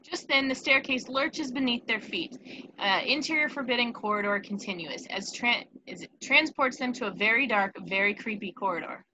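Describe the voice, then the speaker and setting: A woman's voice: on the high side, normal speed, dull audio. A woman narrating scripted stage directions in a table read, with faint noise behind her.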